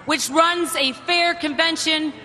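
A delegation spokesperson proudly shouting a roll-call vote announcement. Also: sits high, steady tone, takes it slowly, heavy background noise. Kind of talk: proclaimed roll-call speech. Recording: roaring convention floor.

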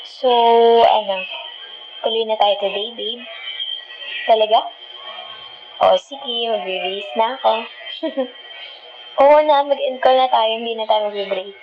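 A woman's voice: fairly high pitch, slow, long pauses, muffled recording, music in the background. A woman with a Filipino accent playing a feisty, dramatic but loving girlfriend in an ASMR roleplay, sassy and mixing two languages as she talks. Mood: softened, affectionate. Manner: sassy, scolding, switching between Tagalog and English.

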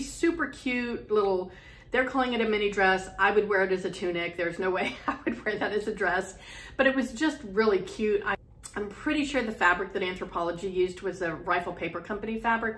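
A woman's voice: mid-range voice, fairly bright tone. Chatty woman rambling about her sewing. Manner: chatty, rambling, many ums and restarts. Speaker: sewing vlogger. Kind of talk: casual chat to camera. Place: home sewing room. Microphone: camera in front, medium close framing.

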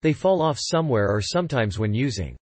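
A man with a somewhat breathy, husky tone reads customer reviews aloud. His delivery is even and unhurried, sitting at a middling pitch. The sound is dry and close, with no room around it. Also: dry recording. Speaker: product review narrator.

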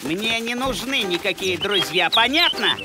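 A woman's voice: mid-range voice, expressive, a little slow, lightly acted. A woman voicing a bossy, grumpy animal villain in a children's cartoon, barking orders with scorn. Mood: grumpy, scornful. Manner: barking orders, dismissive, sneering. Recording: loud music behind the voice.

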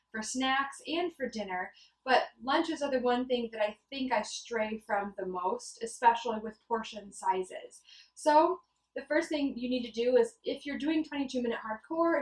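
A young woman talking in a chatty, informal way, giving a casual how-to vlog in her kitchen. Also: on the high side. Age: young adult. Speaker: vlogger and fitness coach. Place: home kitchen, indoors. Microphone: camera across the counter. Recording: echoey kitchen, away from mic.

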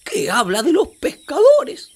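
An Argentine actress playing an expressive island guide who explains things to a child in a children's radio play. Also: female voice, high-pitched, measured pace, heavily acted. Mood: enthusiastic, explaining. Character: animated female island guide telling a child about the river.

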